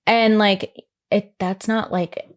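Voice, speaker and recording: A woman's voice: mid-range voice, slow. Young woman, chatty and rambling. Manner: rambling, frequent "like" fillers, animated retelling. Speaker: podcast guest. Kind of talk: candid conversational chat.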